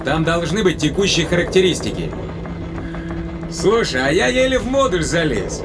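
A man playing an excitable, anxious spaceship captain in a science-fiction audio drama, talking in an emphatic, colloquial way. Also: on the high side, pitched above the performer's own voice.

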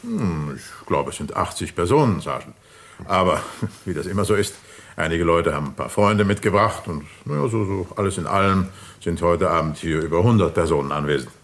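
A man's voice: low voice, lively intonation, brisk, fairly bright tone. An actor playing a well-to-do middle-aged father, a polite and somewhat flustered host, in a German radio crime drama. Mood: genial. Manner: polite, hesitant, genteel. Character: flustered, well-to-do father hosting a grand party.

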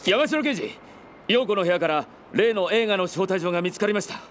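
An actor playing a junior police detective in a radio drama, giving an urgent report. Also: male voice, high-pitched, animated, fairly quick, slight background noise. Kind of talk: Japanese radio drama acting.

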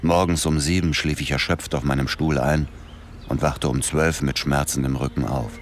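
A man's voice: rumbling low, steady tone, normal speed, a little hiss or hum. An actor playing an exhausted, suspicious former agent who tells his story in a restrained, brooding way in a radio drama. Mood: brooding, weary. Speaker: narrator and lead character. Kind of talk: radio drama narration and dialogue.

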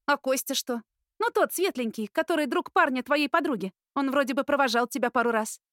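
A woman voicing a caring, cheeky older sister in an audiobook, with a playful, animated manner. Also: sits high, brisk, dry recording, studio quality, pitched above the performer's own voice. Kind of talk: audiobook character acting.

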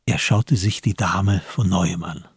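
A man narrates a literary radio drama in a low, very husky voice. The recording is close and dry, and the delivery is scripted storytelling. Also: steady tone, a little slow, no echo.

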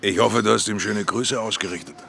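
An actor playing a sleazy, menacing brothel boss in a German radio crime drama, chummy and insinuating. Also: male voice, lowish voice, steady tone, fairly quick, gravelly, noisy background. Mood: quietly threatening. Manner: chummy, insinuating, with tag questions.

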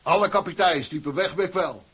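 A seasoned sea-tug officer in a radio play. His voice sits high for a man and rasps huskily. He speaks with salty, sardonic emphasis and working-class indignation. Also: brisk, a bit bright, exaggerated voice. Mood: indignant. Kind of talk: radio drama acting.

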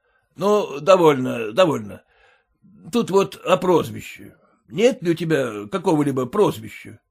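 A man's voice: a little high, big pitch swings, a little slow, creaky, a little mellow, breathy edge, exaggerated voice. Guy doing a bossy merchant boss, brusque, barks orders. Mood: stern. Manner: brusque, commanding. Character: stern older male merchant master, gruff and bossy.

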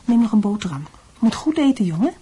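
Husky, doting middle-aged mother. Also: a little high, big pitch swings, fast, warm tone, exaggerated voice. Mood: evasive, gentle. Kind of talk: Dutch radio drama acting.

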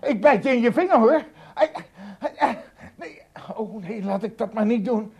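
An actor playing an astonished, easily frightened male traveller, tiny among giants, in a Dutch radio play, talking to himself with exclamations and hesitant repeats, his voice husky and gravelly. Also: very high voice, animated, moderate pace, a little mellow, exaggerated voice. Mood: panicked.

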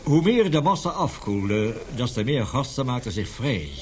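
An actor playing an older, indignant scientist professor in a radio play, vehement and lecturing, halting at times. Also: male voice, medium pitch, sing-song, a little hiss or hum, lightly acted. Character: indignant, passionate scientist professor with a weak heart. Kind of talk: radio drama acting.

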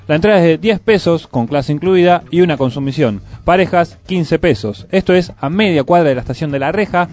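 A man's voice: on the high side, a little mellow. A man with a Rioplatense Argentine accent presenting a radio show, reading scripted music news and event listings. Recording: music playing behind the voice. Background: music bed.

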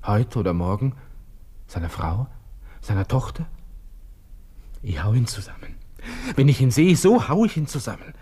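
An actor playing a proud, agitated Austrian army lieutenant, brooding to himself in a radio play, breaking into whispers and outbursts. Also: male voice, mid-range voice, animated, moderate pace, long pauses, mellow, husky. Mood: anxious, furious. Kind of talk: interior monologue in a radio play.

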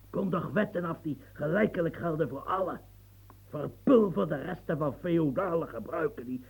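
An actor in a radio play voicing a husky, fiery old marshal in his seventies, who rants and stammers when flustered. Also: a little high, heavily acted, rough recording. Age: 70s. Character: old marshal of seventy-three, fiery, long-winded reformer.

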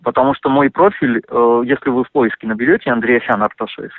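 A man talking over the phone, animated and indignant, full of fillers and restarts. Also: medium pitch, brisk, no room sound. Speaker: interviewee on a phone call. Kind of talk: casual phone conversation. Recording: dry tinny phone line.

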